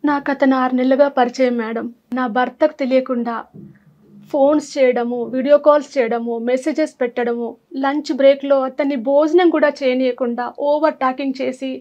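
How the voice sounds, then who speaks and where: A woman's voice: high-pitched, steady tone, normal speed, darkish tone, muffled recording. A woman, telling her personal story as a talk show guest. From Andhra Pradesh, India. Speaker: guest seeking advice.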